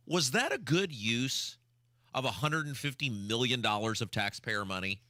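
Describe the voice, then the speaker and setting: Bright-voiced male talk radio host, emphatic. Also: medium pitch, animated, normal speed, no echo, clean studio sound. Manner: exasperated, emphatic, rhetorical questions. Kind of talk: opinionated talk radio commentary.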